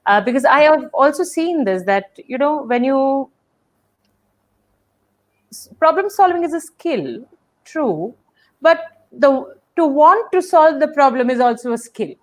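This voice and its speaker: A woman's voice: sits high, lively intonation, measured pace. A woman hosting a webinar on a video call, speaking thoughtfully, with many fillers and restarts. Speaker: webinar host. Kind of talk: casual webinar conversation.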